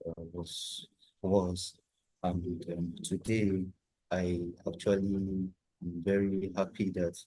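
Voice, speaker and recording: A Nigerian man with a Nigerian accent speaks slowly in a very low, flat voice. His tone is dark and husky, and the call sounds dry and close. He explains things in a calm, measured way. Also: no echo, rough recording. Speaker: workshop host. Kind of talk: self-introduction on a video call. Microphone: video call.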